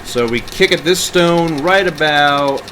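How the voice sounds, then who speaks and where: A man's voice: on the high side, takes it slowly, background noise. A man doing casual livestream gameplay commentary, narrating his moves and chatting to viewers. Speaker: game livestreamer.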